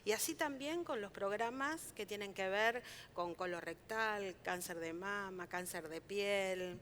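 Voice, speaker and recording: Woman official, measured talk. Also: medium pitch, fairly bright tone, slight background noise. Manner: measured, official, many "eh" pauses. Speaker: city health official, panelist. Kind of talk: panel talk at a forum.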